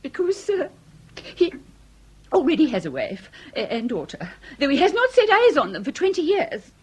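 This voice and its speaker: An actress playing an anxious, genteel Victorian wife with an English accent and a fluttering, over-polite, halting manner, in period comedy radio drama. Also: female voice, sits high, wildly swooping pitch. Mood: fluttering, earnest.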